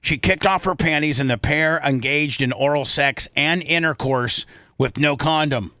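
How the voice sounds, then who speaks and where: A man's voice: a little high, moderate pace, pushed and bright, no echo, studio quality. An American man hosting a comic talk radio show, bantering and reading news aloud, often stumbling and repeating words.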